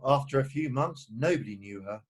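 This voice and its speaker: A man playing a proper, earnest gentleman guardian in an amateur play reading, formal and stiffly indignant. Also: mid-range voice, lively intonation, a little fast, close to an everyday voice. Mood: politely terse. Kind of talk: amateur play read-through.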